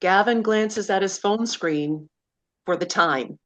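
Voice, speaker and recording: A woman's voice: mid-range voice, takes it slowly, fairly bright tone. A woman reading stage directions as scripted narration at a screenplay table read.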